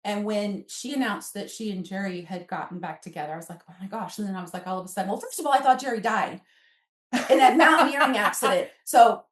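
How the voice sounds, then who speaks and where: A woman's voice: mid-range voice, fast talker. An American woman in her fifties telling a personal story as a podcast guest, rambling along and acting out snatches of dialogue. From Alaska, United States. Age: 50s. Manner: rambling, quotes dialogue as she goes. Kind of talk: animated personal storytelling in a conversation. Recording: echoey room, distant mic.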